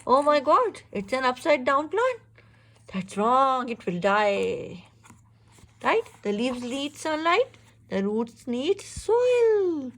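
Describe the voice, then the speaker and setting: A woman reads a children's picture book aloud in an expressive, instructive way, pausing to ask questions. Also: high-pitched. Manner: expressive, instructive, exclaims and checks understanding. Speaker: reader teaching a young child.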